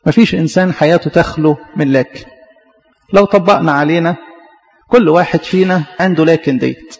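A man's voice: a little high, brisk, darkish tone, big-room sound, muffled recording. A man preaching a sermon in an Egyptian Arabic accent, often asking questions and answering them himself. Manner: questioning, repetitive.

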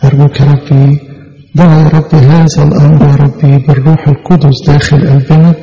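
A man leads an earnest, pleading prayer in an Egyptian accent, his voice dark and gravelly. He speaks quickly and keeps returning to his appeals to God. The sound is dull and lo-fi, with a long reverb from the hall. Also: fairly high pitch, little pitch movement. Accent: Egyptian Arabic. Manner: earnest pleading, repeating "O Lord" often. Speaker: prayer leader. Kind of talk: fervent extemporaneous prayer.

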